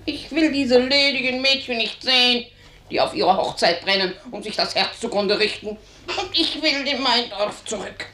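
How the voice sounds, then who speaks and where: A woman's voice: a little high, expressive, moderate pace, sharp tone. An actress playing a deranged, defiant old woman, childlike and insistent, in a radio drama. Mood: defiant, yearning. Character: deranged, defiant old grandmother longing to marry. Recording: echoing room, faint background noise.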